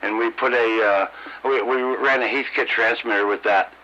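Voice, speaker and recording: A middle-aged or older American man chatting casually as a radio hobbyist, halting and repeating his words, over a CB radio transmission. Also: mid-range voice, steady tone, sharp tone. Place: two-way CB radio conversation. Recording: dull, noisy CB radio transmission.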